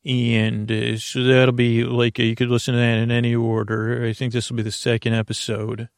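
A man hosting a sleep podcast in a gentle, meandering, halting monologue full of tangents and false starts. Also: medium pitch, completely flat delivery, clear, resonant tone, no echo, clean studio sound. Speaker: podcast host. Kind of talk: rambling bedtime monologue to help listeners sleep.

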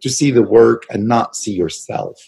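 A man's voice: medium pitch, normal speed, creaky, mellow, slightly rough. A man, an actor and producer, answering interview questions in a loose, searching way, repeating words and adding many fillers.

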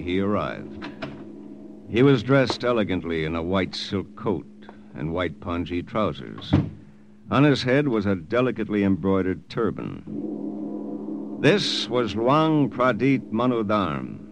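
An actor playing a brash, wry American lieutenant with an American accent, narrating and acting in a 1940s radio drama. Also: male voice, on the low side, big pitch swings, unhurried, background noise. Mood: narrating, matter-of-fact. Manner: slangy, wry. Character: wisecracking, brash American lieutenant, former stunt pilot. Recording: old radio drama recording with music.

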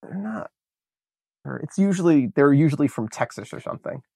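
A man's voice: fairly high pitch, lively intonation, a little fast, gravelly, warm tone, no echo, clean studio sound. An American man co-hosting a podcast, chatting casually about a film with enthusiasm and plenty of fillers and restarts. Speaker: podcast co-host. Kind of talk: casual movie review chat.

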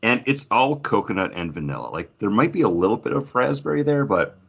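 A man's voice: medium pitch, big pitch swings, brisk, gravelly, breathy edge, dull audio. A man co-hosting a podcast in casual chat, with an informal, jokey, geeky enthusiasm. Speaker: podcast co-host.

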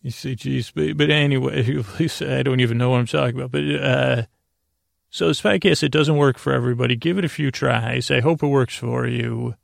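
A man with a heavily creaky, fry-laden voice rambles through an absurd bedtime monologue as a sleep podcast host. His pitch stays fairly even while he stammers, halts and drifts off into tangents. The sound is close and dry. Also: no room sound. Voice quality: gravelly, creaky. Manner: meandering, halting, stammering, trailing off into tangents.

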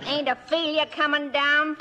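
An actress playing a blunt, sassy, slangy household maid with an American accent in 1950s radio style. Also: female voice, sits high, flat delivery, measured pace, over a noisy crowd. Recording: hissy old radio recording, reverberant.

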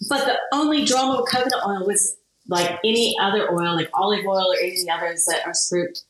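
A woman from Kansas in her forties, answering interview questions in an animated way and laughing often. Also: mid-range voice, fairly quick. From Kansas, USA. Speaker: guest, product founder. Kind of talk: interview conversation. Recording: very echoey room, faint noise.

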